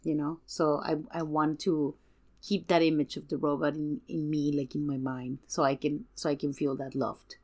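A woman in a casual film discussion, speaking earnestly and emphatically and pausing to search for words. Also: low-pitched, little pitch movement, darkish tone. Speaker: podcast guest.

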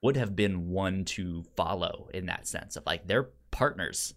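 A man co-hosting a casual film podcast, speaking haltingly with a stutter, repeating sounds and words as he goes. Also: sits low. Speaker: podcast co-host reviewing films.

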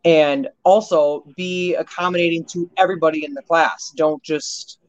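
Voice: medium pitch, fairly even intonation, normal speed, no room sound, highs cut off. A podcast co-host talking casually in an earnest, rambling way. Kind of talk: casual chat.

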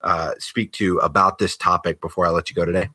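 A man's voice: low voice, flat delivery, brisk, pushed and bright, husky, no echo. A man hosting a podcast, interviewing in a casual, conversational way. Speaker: podcast host.

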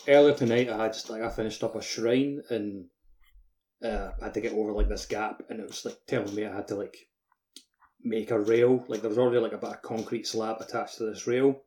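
A man co-hosting a casual video game podcast, speaking haltingly with "like" peppered through his sentences. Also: fairly low pitch, fairly even intonation, dark tone, a little hiss or hum. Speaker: podcast co-host.

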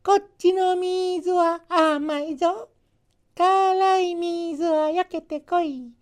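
A man voicing a kindly old woman from a Japanese folktale in a thin falsetto, polite and singsong. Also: steady tone, very slow, heavily acted. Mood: singsong coaxing. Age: older. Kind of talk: storybook character voice.

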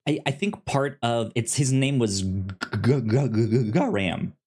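A man co-hosting a podcast, casually discussing fiction in a halting way, often stumbling over the starts of words. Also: mid-range voice, a little mellow, slightly rough. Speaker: podcast co-host reviewing fiction.

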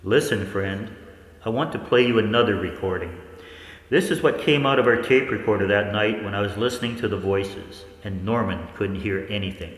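A man acting a haunted scientist recounting cosmic horror, dramatically and deliberately, in a 1940s-style radio play. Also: on the low side, natural voice. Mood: haunted, brooding. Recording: echoey hall, long reverb, faint noise.

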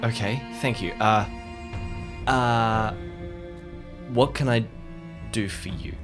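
A man's voice: on the low side, steady tone, slow, music in the background. A man playing in an improvised audio drama, moving between hesitant, earnest dialogue and narrating his character's actions.

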